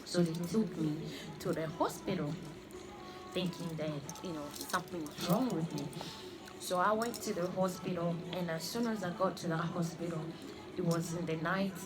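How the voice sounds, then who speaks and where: A woman in her thirties, born in Congo and raised in Australia, giving an earnest personal religious testimony and often halting to repeat her phrases. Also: fairly low pitch, steady tone, poor audio. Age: 30s. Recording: music playing under the voice. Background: clear background music.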